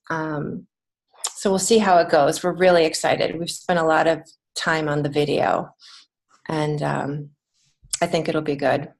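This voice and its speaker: An American woman giving casual, encouraging answers as an author being interviewed on a podcast. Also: sits low. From United States. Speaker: podcast guest, children's book author and creative writing teacher.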